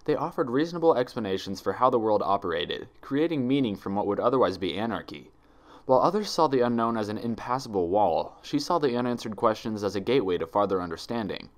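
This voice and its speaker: A man narrating a written story in a deliberate, dramatic storytelling manner. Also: fairly low pitch. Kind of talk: scripted narration, dramatic fan fiction reading.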